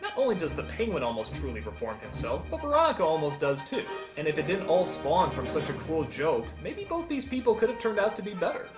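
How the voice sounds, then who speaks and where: A man's voice: fairly high pitch, brisk, hardly any pauses, music in the background. A man narrating a scripted comedic review in an emphatic, exclamatory way. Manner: animated, emphatic, exclamatory, profane. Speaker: video reviewer and countdown host.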